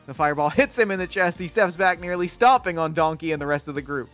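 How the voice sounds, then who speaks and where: A man reads screenplay stage directions in a brisk, matter-of-fact way. His voice is bright and a bit high for a man. Faint music plays underneath. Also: expressive. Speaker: narrator.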